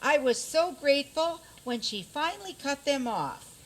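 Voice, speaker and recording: A woman plays an aggrieved storybook maiden in a radio comedy. Her voice is high and swooping, delivered slowly, with a dramatic, plaintive plea in it. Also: expressive. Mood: aggrieved pleading. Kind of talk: comic community radio theater.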